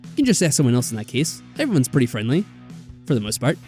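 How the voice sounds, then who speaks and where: A man's voice: mid-range voice, big pitch swings, quick pace, a little mellow, close to an everyday voice. A man voicing a friendly, offhand young male student in a visual novel game. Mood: relaxed, friendly. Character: easygoing, friendly young male scholar at a hostel. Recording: background music.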